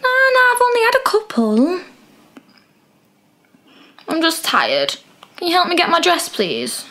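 A woman's voice: very high-pitched, wildly swooping pitch, a little slow, bright, forceful tone, full character voice. A young woman playing a tipsy, appeasing girlfriend in a radio drama. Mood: tipsy, hurt. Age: young adult. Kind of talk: naturalistic student radio drama acting.

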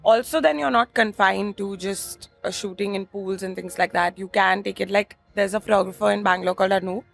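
A woman's voice: medium pitch, steady tone, fairly quick, creaky, few pauses, soft background music. A young woman from India, a stand-up comedian, chatting casually as a guest on an outdoor cafe patio, with plenty of fillers and self-deprecating asides. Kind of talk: casual interview chat.

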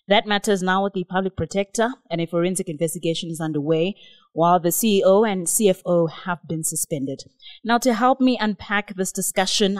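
A woman interviewer giving a formal, measured introduction and then putting questions to her guest. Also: lowish voice, no room sound.

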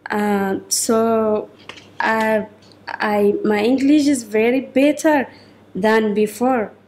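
A young woman giving a simple, halting personal account. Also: a little high, steady tone, darkish tone. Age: young adult. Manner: halting, simple second-language English. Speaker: school student. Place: indoor room.

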